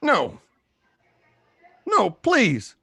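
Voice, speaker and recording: A man's voice: sits high, huge pitch swings, extremely slow, gravelly, sharp tone, breathy edge, no room sound, clean studio sound, pitched above the performer's own voice, exaggerated voice. A man acting a frightened, desperate man who stammers and pleads his innocence in a radio play. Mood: pleading.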